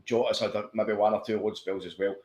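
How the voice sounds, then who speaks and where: A Scottish man co-hosting a podcast, talking fast and passionately about football, swearing and stumbling over restarts. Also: lowish voice, steady tone, a little mellow. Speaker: podcast co-host. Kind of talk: casual football chat. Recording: echoey room.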